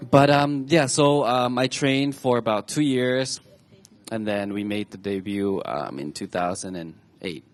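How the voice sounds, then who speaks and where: A man in his twenties from Thailand, telling personal stories to an audience on a stage talk show in a chatty, halting way with frequent restarts. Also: lowish voice, soft background music. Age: 20s.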